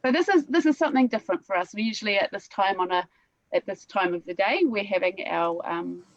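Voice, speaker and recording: A woman's voice: mid-range voice, fast talker, no echo. Friendly New woman on a video call. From New Zealand. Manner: warm, friendly, says "lovely" often. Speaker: English teacher, guest. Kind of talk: casual self-introduction. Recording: dry, dull video call audio.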